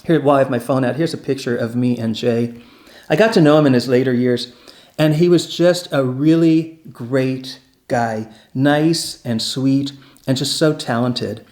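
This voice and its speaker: A man's voice: mid-range voice, a little slow, darkish tone. A man telling park history stories in a casual, conversational, enthusiastic way. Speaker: presenter and storyteller. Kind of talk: casual storytelling about theme park history.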